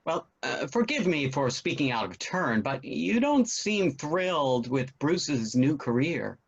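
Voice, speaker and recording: Skeptical, kindly older dad played by a male actor, husky and animated, hesitant delivery, muffled lo-fi call audio. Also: fairly high pitch, a little slow, exaggerated voice. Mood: probing. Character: skeptical, cautious but kindly father of a grown son. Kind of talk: virtual table read of a stage comedy-drama.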